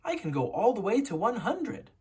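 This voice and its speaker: A woman voicing a bright, eager seven-year-old princess who is sure of herself, in a storybook. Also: a little high, big pitch swings, normal speed, slightly rough, voice pushed up, full character voice. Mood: proud. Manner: eager, self-assured.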